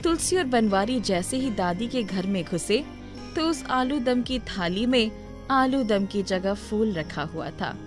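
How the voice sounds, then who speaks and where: A woman's voice: mid-range voice, lively intonation, measured pace, music in the background. A woman reading scripted narration for a moral story as its storyteller.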